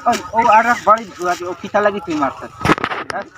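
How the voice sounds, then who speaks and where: Man acting bossy village man, high voice, Sylheti accent, scolding, repetitive, noisy outdoor amateur skit. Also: a little fast, lightly acted.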